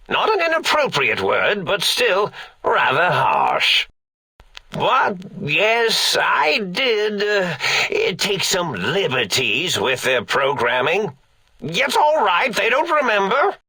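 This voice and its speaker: An actor playing an eccentric, forgetful elderly mad scientist in a video game, creaky and raspy, theatrical, pompous and rambling. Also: male voice, a little high, pushed and bright, no echo, heavily acted. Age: older. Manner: rambling, pompous, absent-minded, melodramatic.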